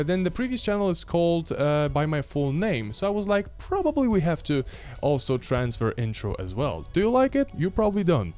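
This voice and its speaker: Lively young man with a Polish accent. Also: on the high side. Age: 20s. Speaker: video host, product reviewer. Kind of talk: casual review to camera. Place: small indoor room. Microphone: handheld microphone held near the chest.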